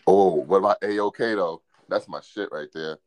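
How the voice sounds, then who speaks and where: A man's voice: low voice, moderate pace, a little mellow. A man in a casual chat, playfully quizzing and needling the other speaker.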